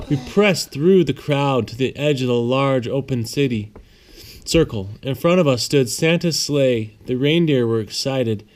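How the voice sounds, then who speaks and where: A man's voice: medium pitch, a little mellow. Man reading a bedtime storybook aloud. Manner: pauses to answer child's questions simply. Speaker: father reading to his child.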